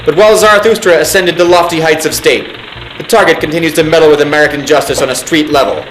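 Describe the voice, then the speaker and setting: A man's voice: fairly high pitch, fairly bright tone, roomy sound, slight background noise, natural voice, low-quality recording. A man playing a solemn military narrator, reading a mock government film in a formal, pompous manner. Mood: grave, officious. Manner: formal, pompous, deliberate enunciation. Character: solemn male military briefing narrator, grave and officious. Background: music.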